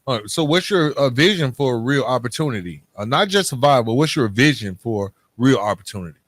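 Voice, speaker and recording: A man's voice: medium pitch, expressive, fairly quick, a bit bright, no echo. A man with a mid-range voice hosts a casual podcast conversation. He talks informally and candidly, with colloquial phrasing. The sound is dry and close to the microphone. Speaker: podcast host. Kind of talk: casual interview and personal reflection.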